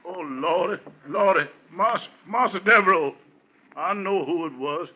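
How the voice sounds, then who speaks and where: Loyal old manservant, superstitious, folksy dialect, raised pitch, muffled 1940s radio drama sound. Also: male voice, measured pace, slightly rough. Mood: distraught. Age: older. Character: fearful, superstitious loyal old household servant, male. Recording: dull, distant 1940s radio recording.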